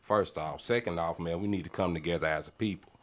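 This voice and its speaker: Man, lowish voice, casual podcast debate, emphatic, exhorting, repetitive phrasing. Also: quick pace, fairly bright tone. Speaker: podcast panelist. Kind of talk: casual, impassioned round-table discussion.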